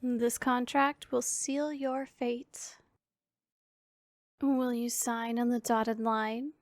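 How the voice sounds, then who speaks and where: A woman voicing a powerful female demon in an ASMR roleplay, imperious and teasing with a secretly caring streak, speaking in formal, deliberate phrases. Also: a little high, level tone, no room sound, clean studio sound. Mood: mischievous, threatening.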